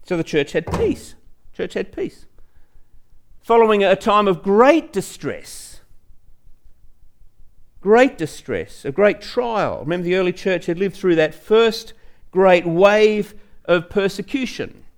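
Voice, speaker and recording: A man's voice: high voice, big pitch swings, slow, long pauses. A man preaching a teaching sermon in a conversational way, repeating phrases for emphasis and putting rhetorical questions to the listener.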